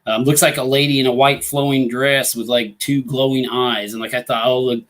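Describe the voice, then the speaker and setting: A Midwestern American man with a hoarse voice from a lingering cold, clearing his throat often. He hosts a casual live stream, reading viewer comments and rambling with plenty of fillers. His pitch sits right in the middle for a man. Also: flat delivery, moderate pace, fairly bright tone. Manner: rambling, many fillers like "you know" and "uh". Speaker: ghost hunting talk show host.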